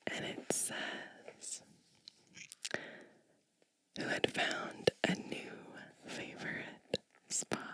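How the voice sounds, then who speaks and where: Slow whispering woman reading a storybook. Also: dry recording, low-quality recording. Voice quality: breathy unvoiced whisper. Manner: slow, gentle, lingering phrasing. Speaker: ASMR channel host reading a picture book.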